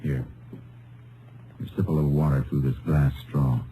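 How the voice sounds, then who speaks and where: An actor playing a steady, laconic Texas Ranger who speaks tersely and narrates his own case in an old radio western. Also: a little hiss or hum, poor audio. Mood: gentle, sober. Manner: terse, low-key, deliberate. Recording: old radio recording, music underneath.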